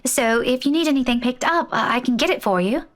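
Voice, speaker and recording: A woman's voice: high voice, brisk, lightly acted. A woman voice-acting an anxious, weary woman in a visual novel, speaking earnestly and a little hesitantly. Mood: weary, grateful. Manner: hesitant, earnest, stammering slightly. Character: anxious, weary woman keeping a hospital vigil for a friend.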